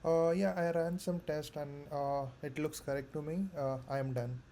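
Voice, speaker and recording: A man thinking aloud haltingly as a candidate in a mock coding interview. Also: on the high side, steady tone, mellow, slight background noise. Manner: hesitant, halting.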